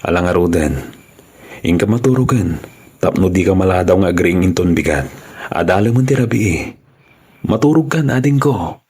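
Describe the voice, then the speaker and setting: A man with an Ilocano accent acting a humble, devoted young man in love in a radio drama, with a husky voice. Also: deep voice, expressive, normal speed, pauses often, darkish tone. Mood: gentle, caring.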